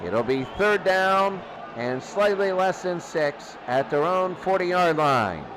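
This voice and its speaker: A man doing excitable play-by-play football commentary in a radio style, often repeating key phrases. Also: high voice, slow pace, background noise.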